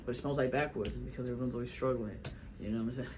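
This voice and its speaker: A man's voice: medium pitch, steady tone, racing, darkish tone, slight background noise. A young man, a rapper from South Florida talking casually and at length in his recording studio, rambling and repeating himself. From Miami, South Florida. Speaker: rapper and vlogger. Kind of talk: casual studio vlog talk.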